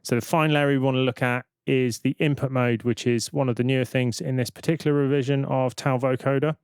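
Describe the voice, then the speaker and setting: A man giving an informal, step-by-step software demonstration as a tutorial presenter. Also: mid-range voice, fairly even intonation, quick pace, clear, resonant tone, no echo, studio quality.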